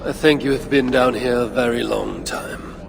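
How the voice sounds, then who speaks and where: Guy doing a gravelly proud norse warlord god for a game, solemn and bossy, big reverb. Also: medium pitch, steady tone, moderate pace, music in the background, lightly acted. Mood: calm, guarded. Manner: solemn, formal, imperious. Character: proud, commanding Norse warrior god, a vengeful lord. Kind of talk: dramatic video game acting. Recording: reverberant, noisy game cutscene ambience.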